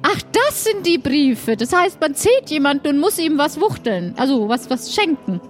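A woman's voice: high-pitched, big pitch swings, moderate pace, soft background music. A woman playing a curious, sympathetic, chatty townswoman in an improvised audio play, often repeating her words as she stammers. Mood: concerned, inquisitive.

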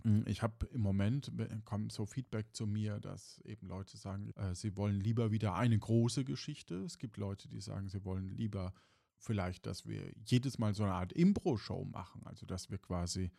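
A man's voice: fairly low pitch, moderate pace, vocal fry, warm tone, no room sound, clean studio sound. A man speaking informally and loosely as host and game master, chatting to podcast listeners. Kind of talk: casual chat to podcast listeners.

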